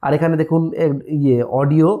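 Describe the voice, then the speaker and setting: A man's voice: on the high side, flat delivery, fairly quick, warm tone. A man hosting a casual app tutorial, explaining step by step and often checking in with listeners. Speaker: tutorial host.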